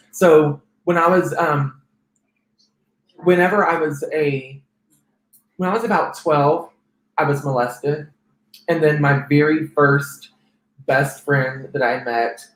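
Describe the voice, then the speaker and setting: A man in his thirties with a soft, feminine-sounding voice, telling his life story as an interview guest, with frequent restarts. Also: a little high, reverberant, low-quality recording. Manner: rambling, many restarts and fillers. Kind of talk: personal testimony in conversation.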